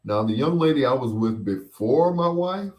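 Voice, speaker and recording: A middle-aged man hosting a casual show, chatting and reminiscing in a rambling way, often pausing and repeating himself as he replies to listeners. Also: medium pitch, lively intonation, darkish tone. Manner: unhurried, rambling, with pauses and repeats. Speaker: host of a bedtime stories show. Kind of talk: casual chat, reminiscing and replying to listener comments.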